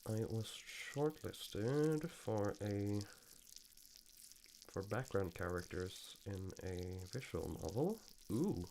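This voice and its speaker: Male streamer, very low register, unhurried pace, excitable, half-muttering casual delivery. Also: a little hiss or hum. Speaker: streamer and amateur voice actor. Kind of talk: casual live-stream chat.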